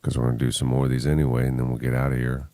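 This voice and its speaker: A man's voice: a bass voice, level tone, rapid-fire, warm tone, no room sound. A man hosting a morning radio show, joking around and reading listener messages aloud. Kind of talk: casual morning radio banter. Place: radio studio. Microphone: studio microphone.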